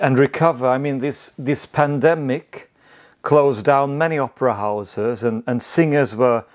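A middle-aged Swedish man hosts an interview from home, his pitch rising and falling a lot as he speaks. He repeats words as he asks his questions, and his accent is clear. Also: a little mellow. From Sweden. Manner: hesitant, repeats words when asking questions. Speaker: host. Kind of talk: video call interview. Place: living room at home. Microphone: lapel mic.

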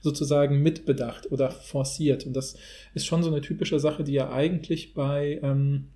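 A man's voice: on the high side, flat delivery, moderate pace, mellow. A man in a casual analytical podcast discussion, talking in a rambling, academic way.

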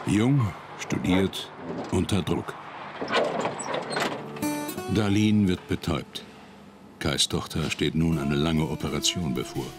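A man narrating a television documentary in a calm, measured voice-over. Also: rumbling low. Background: music.

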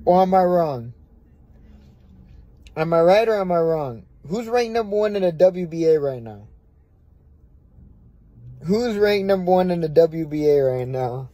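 A man's voice: fairly high pitch, expressive, a little slow, pauses often, mellow, no echo. A young man from the United States, chatting brashly on a phone livestream from a dim room at night, repeating himself and swearing freely. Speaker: boxer on a social media livestream. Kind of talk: casual livestream chat. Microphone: phone held close.

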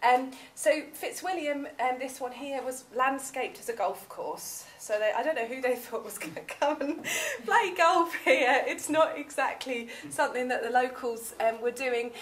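A woman's voice: sits high, moderate pace, a bit bright. A young woman with a southern English accent giving a chatty conference talk in a seminar room, full of ums, recorded from a far camera. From southern England. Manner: chatty, many ums, gestures while speaking. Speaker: academic researcher presenting. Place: seminar room with projector screen. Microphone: far camera, room audio.

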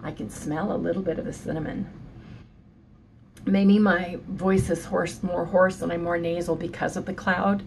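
Hoarse, nasal woman in her sixties; chatty morning vlogger at a desk webcam, lowish voice, clear background noise. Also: flat delivery, moderate pace, rough recording. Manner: chatty, keeps saying "you guys". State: hoarse and nasal from sinus trouble. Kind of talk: casual morning vlog chat. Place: home office with shelves.

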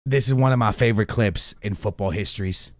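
A man's voice: on the low side, brisk, no echo. A man in his thirties chatting casually and in slang to his live stream chat while reacting to clips. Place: small indoor streaming room. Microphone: close studio mic on boom arm.

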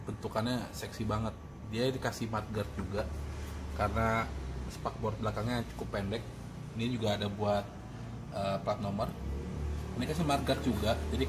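Lowish guy rambling bout his bike, lots of uh's, outdoor noise all over. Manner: slangy, chatty, many fillers and restarts. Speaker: motorcycle vlogger. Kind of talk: casual review chat. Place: covered porch outdoors. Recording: noisy outdoor ambience.

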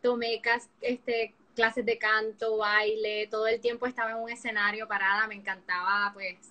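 A woman in her thirties from Puerto Rico with a Puerto Rican Spanish accent, a singer and actress chatting bubbly and animated as a guest on a video-call interview from her living room. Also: on the high side, normal speed. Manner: bubbly, laughs often, animated storytelling. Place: living room with couch, indoors. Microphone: laptop or phone camera on video call.

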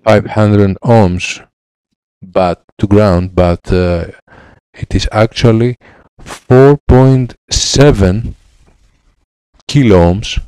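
A man in his thirties with a Greek accent, a repair technician explaining a laptop fix in halting English with many fillers, on a headset mic in his lab. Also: on the low side, mellow, clean tone, dry recording. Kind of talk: hands-on repair tutorial. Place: electronics repair lab, indoors.

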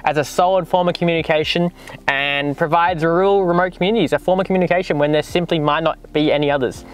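A man in his twenties with an Australian accent, talking casually and enthusiastically to camera in a vlog review. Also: on the high side. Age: 20s. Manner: enthusiastic, informal. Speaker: vlogger and presenter. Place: in a car and outdoors in the bush.

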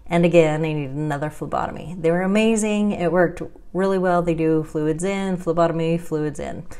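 A woman in her thirties vlogging at home into a close webcam. Her voice sits a little low and her delivery is chatty and wry, with frequent laughs and dry asides. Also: normal speed, mellow, smooth. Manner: chatty, wry and self-deprecating, laughs often. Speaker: vlogger sharing her health journey. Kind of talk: casual talking-head vlog. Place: indoors at home, small room.

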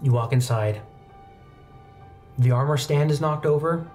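A young man narrating a tabletop roleplaying game as game master, telling the story in a measured way with pauses. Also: on the high side, a little mellow. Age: young adult. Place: indoor studio set, seated at a desk. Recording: background music under the voice.

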